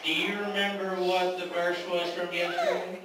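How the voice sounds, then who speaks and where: A man's voice: sits high, huge pitch swings, normal speed. A man voicing a playful, cheeky male puppet in a children's show, with a silly, teasing manner. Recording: echoey, distant, very noisy live room.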